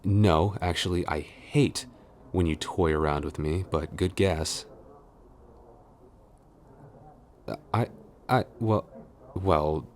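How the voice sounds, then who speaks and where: Casting: male actor as grumbling but smitten tsundere office boyfriend, extremely low voice, intimate and hesitant ASMR roleplay delivery, audible background noise. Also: slow. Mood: grudgingly affectionate. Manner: hesitant, mock-scolding, intimate.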